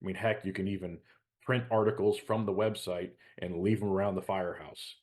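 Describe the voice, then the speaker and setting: A man's voice: low-pitched, fairly even intonation, fairly quick, a little mellow. A middle-aged man co-hosting a show, explaining things in an earnest, conversational way. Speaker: show co-host.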